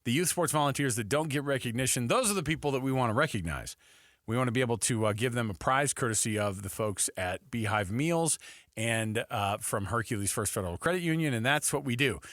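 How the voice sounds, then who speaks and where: A man's voice: medium pitch, brisk, a bit bright, no echo, studio quality. A man with an American accent co-hosting sports talk radio, emphatic and conversational.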